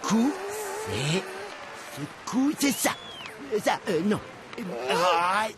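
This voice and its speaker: A man voicing an indecisive, easygoing cartoon dog who ponders aloud hesitantly in a children's animated comedy. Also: high-pitched, drawn-out words, background noise, exaggerated voice. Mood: dithering.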